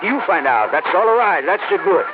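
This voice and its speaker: An evasive farmer, high-ish and swooping, old radio play sound. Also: male voice, sing-song, moderate pace, phone-quality sound. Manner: broken English, evasive and jumpy. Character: nervous middle-aged male immigrant chicken farmer. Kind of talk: 1940s radio acting. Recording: thin noisy old radio broadcast.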